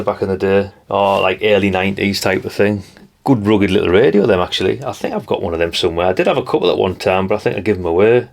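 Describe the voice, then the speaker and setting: A man's voice: on the low side, gravelly. A middle-aged man with a North East English accent giving a casual, chatty show-and-tell, rambling along in a nostalgic, down-to-earth way. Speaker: hobbyist video presenter.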